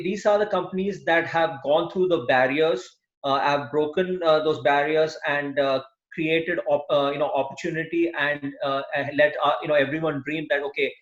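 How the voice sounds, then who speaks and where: Halting man in an echoey room. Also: a little high, a bit bright. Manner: hesitant, many "uh" fillers. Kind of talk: casual video call discussion. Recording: echoey, dull call audio.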